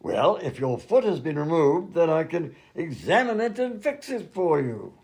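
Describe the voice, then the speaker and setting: A man's voice: fairly high pitch, very animated, normal speed, darkish tone. A man playing an absent-minded, fussy and polite mad doctor and inventor in a comedy radio play. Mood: breezy, fussy.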